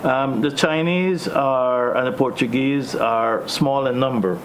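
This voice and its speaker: A Guyanese man leading a discussion, speaking in a measured way with many pauses and ums. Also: mid-range voice, slight background noise, rough recording. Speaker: host.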